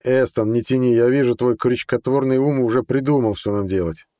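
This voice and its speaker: Man doing a gruff, brusque general, dry sound. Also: medium pitch, steady tone, brisk, dark tone, no echo, voice pushed up, natural voice. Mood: gruff, impatient. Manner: brusque, impatient. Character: gruff, impatient general plotting a coup. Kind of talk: audiobook character dialogue.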